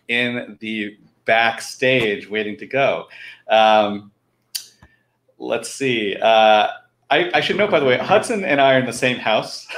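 A middle-aged man hosts an online event over a video call. His medium-pitched voice sits in an echoey room. He speaks informally and often stops to restart a phrase. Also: a bit bright. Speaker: host of a live online fundraiser. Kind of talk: informal live stream hosting. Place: room at home, indoors. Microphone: computer video call.

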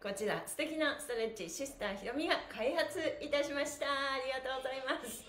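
A cheerful, polite middle-aged woman hosting a livestreamed stretching class, encouraging and energetic. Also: high-pitched, fast talker. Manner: energetic, sing-song while exercising. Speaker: stretching instructor and livestream host. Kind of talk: livestreamed exercise class with chanted counts. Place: small indoor room, on an exercise mat. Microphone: camera a couple of metres away. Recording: echoey bare room.

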